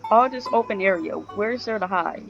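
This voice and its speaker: A woman's voice: mid-range voice, normal speed, creaky, music in the background. A woman doing casual, reactive commentary while playing a video game, on a dull-sounding mic. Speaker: gamer commenting on gameplay.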